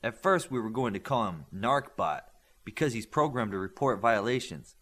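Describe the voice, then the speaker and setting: A man's voice: on the low side, expressive, normal speed, fairly bright tone. A man voicing a casual, matter-of-fact teenage superhero boy in a story reading. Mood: explaining.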